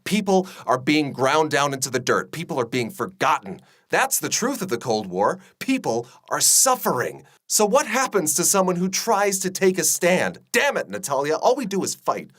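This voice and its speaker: An actor with an American accent playing an idealistic, dogged radio journalist in a radio play, earnest and impassioned. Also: male voice, a little high, very animated, moderate pace, hardly any pauses, a bit bright. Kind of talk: radio play acting and first-person narration.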